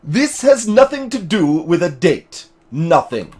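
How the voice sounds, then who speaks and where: A man's voice: sits high, sing-song, takes it slowly, a little mellow, breathy edge, full character voice. A man voicing a haughty, commanding prosecutor in a video game, with a pompous, clipped and dismissive delivery. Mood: indignant, boastful.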